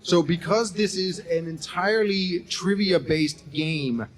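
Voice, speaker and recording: A man in his forties co-hosting a live podcast on a college campus, upbeat and laughing often. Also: sits high. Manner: upbeat, laughs often, rushes through explanations. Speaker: podcast co-host and game host. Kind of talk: casual live podcast chat.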